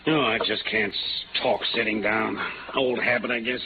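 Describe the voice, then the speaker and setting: A man playing a grave, troubled older American insurance executive, set in his ways, in a 1950s radio drama, with measured, halting delivery. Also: on the low side, fairly even intonation, close to an everyday voice. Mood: wistful, evasive. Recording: noisy, phone-like old radio recording.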